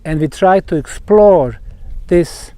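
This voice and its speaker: A man with a fairly high voice guides a group through a site. He speaks very slowly, setting out short, emphatic phrases with deliberate pauses. The sound is dry, with little echo. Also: dark tone. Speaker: researcher and tour guide. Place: outdoors at a megalithic stone site.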